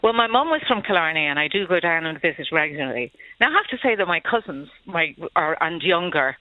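A caller with a Dublin accent, chatting hesitantly on a radio phone-in with frequent restarts and little laughs. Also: male voice, a little high, expressive, fairly quick, no echo. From Ireland. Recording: tinny phone line, dry.

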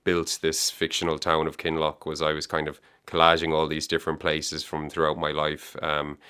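Low smooth-voiced Irish man. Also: monotone, normal speed, bright, forceful tone. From Ireland. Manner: thoughtful, lots of yeahs and restarts. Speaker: author guest. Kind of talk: reflective interview answers.

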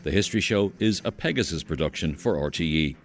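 Man, Irish accent, very low voice, measured radio host, wry. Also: expressive. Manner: measured, wry, good-humoured. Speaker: radio history show host. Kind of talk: radio interview and sign-off.

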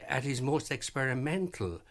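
A man's voice: medium pitch, expressive. A man, speaking hesitantly as an interview guest in a vintage radio program. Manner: halting, many fillers and restarts. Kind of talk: reflective interview talk.

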